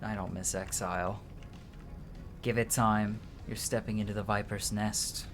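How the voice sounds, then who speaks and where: A man's voice: fairly low pitch, level tone, a little slow, music in the background. A man doing casual let's-play commentary, informal and sweary, reading game dialogue aloud as he plays. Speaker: game streamer.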